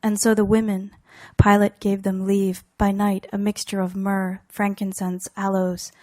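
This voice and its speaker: A woman recites her poems with deliberate care, setting down short fragments and weighted pauses. Her pitch moves within a very narrow span, and her tone is soft-edged and mellow. The sound is close and dry. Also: little pitch movement, no room sound. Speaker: poet reading own work. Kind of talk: poetry reading, measured recitation.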